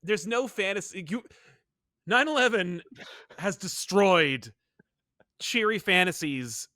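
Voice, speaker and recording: Man, bright forceful voice, slightly high, opinionated, casual podcast chat, dry close sound. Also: lively intonation, slow, no echo. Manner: opinionated, acts out imagined dialogue. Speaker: podcast co-host. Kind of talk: casual animated pop culture chat.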